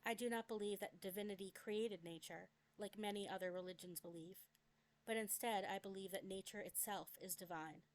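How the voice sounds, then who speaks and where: A young adult woman reads her own memoir aloud as a scripted dramatic narration, with a deliberate, expressive delivery. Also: medium pitch. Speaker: memoir author reading own work.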